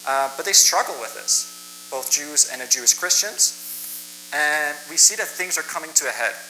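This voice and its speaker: Young male guest preacher, native Dutch speaker, very bright forceful voice, plainspoken sermon, faint hum on the mic. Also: a little high, animated, moderate pace, low-quality recording. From Netherlands, moved to western Canada at ten. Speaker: guest preacher, college staff member. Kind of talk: sermon with personal introduction. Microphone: church microphone.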